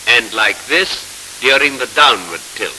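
A man narrating a 1940s instructional film in a formal, measured, explanatory manner, on a scratchy old film soundtrack. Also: medium pitch, animated, bright, forceful tone.

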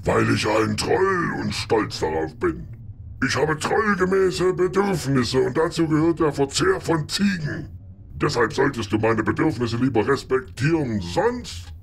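A man's voice: medium pitch, animated, unhurried, creaky, slight background noise. A man playing a proud, pompous troll in a fairy-tale parody radio play, speaking in stilted, formal phrases. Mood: pompous, menacing. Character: male troll, proud, hungry, pompous, guilt-ridden.

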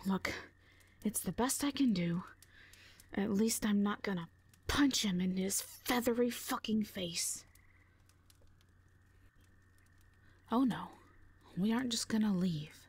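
A woman playing a blunt, husky warrior woman. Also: medium pitch, measured pace. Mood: exasperated. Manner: blunt, dry, foul-mouthed. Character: blunt, protective warrior woman, sardonic but caring. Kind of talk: audio roleplay drama acting.

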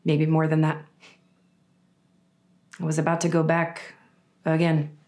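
Low-voiced young woman reading a testimonial. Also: completely flat delivery, a little slow, a little mellow. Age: young adult. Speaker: reader of a survivor's story. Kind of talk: read first-person testimonial monologue. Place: indoors against a dark backdrop.

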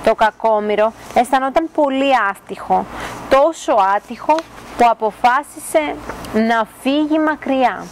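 A woman's voice: sits high, rough recording. A young woman reading a children's fairy tale aloud expressively, recorded outdoors in a garden. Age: young adult. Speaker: storyteller reading a children's book. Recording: clear outdoor background noise.

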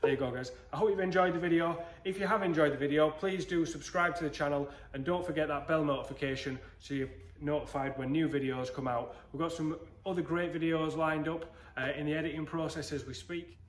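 A man's voice: on the high side, brisk, hardly any pauses. Chatty man in an echoey workshop. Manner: chatty, relaxed, addresses viewers as guys. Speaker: woodworking channel host. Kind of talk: casual workshop tutorial. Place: indoor woodworking workshop. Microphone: handheld phone camera, moving. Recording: echoey workshop with faint noise.

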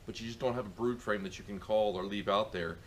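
A middle-aged man with a lowish voice walks through a beekeeping chore outdoors, rambling and restarting his sentences as he goes. He is picked up by a camera mic in a farmyard, with faint outdoor ambience behind him. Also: steady tone, quick pace, a little hiss or hum. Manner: rambling, many fillers and restarts. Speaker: beekeeper showing a how-to. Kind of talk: casual how-to demonstration.

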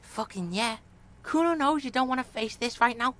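A woman's voice: on the high side, animated, moderate pace, fairly bright tone. A woman voicing a foul-mouthed, cocky young street boy in a game, brash and sneering. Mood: uneasy bravado. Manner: brash, sneering, speaks of self in third person. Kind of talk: video game character acting.

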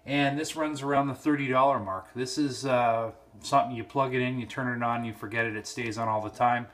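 A man's voice: medium pitch, steady tone, fairly quick, a bit bright. A man giving an informal, slightly rambling hands-on product review, recorded indoors on a handheld phone. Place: indoor room at home. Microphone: handheld cell phone.

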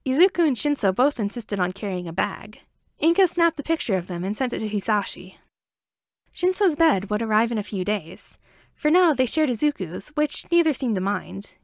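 Lively high-pitched woman narrating a story. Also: normal speed, no room sound, studio quality. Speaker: amateur audiobook narrator.

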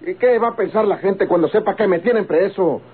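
Husky, high-voiced indignant Mexican rancher. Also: male voice, brisk. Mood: indignant, agitated. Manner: blustering, folksy, protesting. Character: indignant, agitated rancher held prisoner, proud of his family. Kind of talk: golden-age Mexican radio drama acting. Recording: tinny, narrow-band old radio recording.

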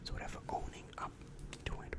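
A young man playing a calm, reassuring demon guidance counselor in an ASMR roleplay, whispering breathily and patiently explaining, close to the microphone. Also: natural voice. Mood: patient, instructive. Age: young adult. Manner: slow, soothing, patient explaining. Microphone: close-up microphone with foam windscreen.

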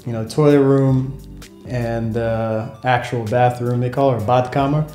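A man's voice: medium pitch, steady tone, unhurried, dark tone. A young American man chatting casually to camera in a laid-back, lightly amused way, recorded indoors at home. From United States. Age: young adult. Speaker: vlogger. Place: small room in a home, indoors. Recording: roomy echo, music behind.

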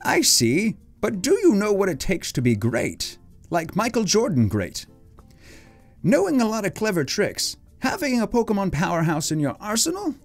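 A performer voicing a gruff, weary old male professor who scolds and lectures but can be kindly. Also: medium pitch, sing-song, moderate pace, soft background music, voice pushed up. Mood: lecturing.

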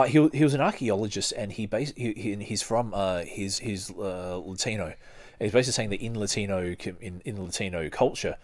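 A man hosting a podcast, reading aloud and commenting casually in a halting, rambling way. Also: low voice, no echo. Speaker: podcast host.

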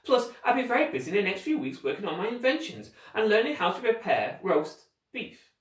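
A man's voice: sits high, very animated, fairly quick, roomy sound, far from the mic, pitched above the performer's own voice. A man voicing an anxious, polite and clever young girl in a storybook, speaking carefully and hesitantly. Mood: nervous, polite.

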